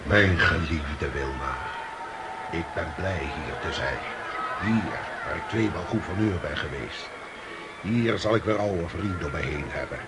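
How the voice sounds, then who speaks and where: An actor playing an aged, weary field marshal who speaks slowly and contentedly, over loud crowd noise in a radio play. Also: male voice, deep voice, expressive. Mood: relieved. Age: older. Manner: slow, contented, reminiscing.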